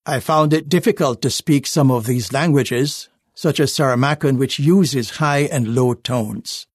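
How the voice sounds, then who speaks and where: Man reading a life story, measured. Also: mid-range voice, husky, no echo, studio quality. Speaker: reader of a magazine life story. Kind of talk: scripted first-person narration.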